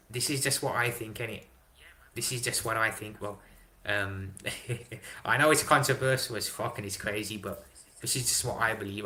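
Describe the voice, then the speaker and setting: An excitable young man talks through a casual live stream, reacting to chat and swearing freely. His voice sits a little low but sounds bright and forceful, and a faint hum of room ambience runs behind him. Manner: excitable, swears, London slang like innit and big up. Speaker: livestream host reading chat.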